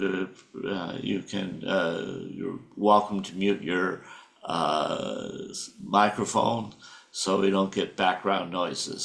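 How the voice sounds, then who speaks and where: A man opens a club meeting over a video call, welcoming everyone in a hesitant, stumbling way. He speaks slowly, with a very low, gravelly voice and many pauses and fillers. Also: fairly bright tone. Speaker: meeting host.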